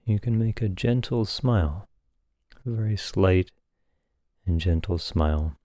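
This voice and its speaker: A man leading a guided meditation as a teacher, speaking calmly and gently in short phrases with long pauses. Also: deep voice, dry recording, clean studio sound.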